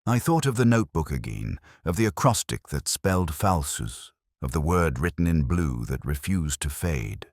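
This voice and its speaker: Very deep-voiced brooding detective played by a man. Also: sing-song, no echo, studio quality, heavily acted. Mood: somber, reflective. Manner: measured, reflective, melancholy. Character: brooding, literary male police detective narrating his case. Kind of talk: slow scripted first-person mystery narration.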